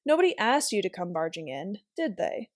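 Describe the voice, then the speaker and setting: A woman's voice: medium pitch, very animated, normal speed, no echo, clean studio sound, close to an everyday voice. A woman voicing an upset, hot-tempered schoolgirl with blunt, old-fashioned phrasing in a storybook reading. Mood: angry, scolding.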